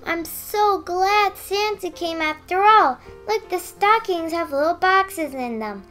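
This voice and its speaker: A very high-pitched young child, acted by a woman in a radio play. The delivery is excited but takes its time, with music underneath. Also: takes it slowly, exaggerated voice.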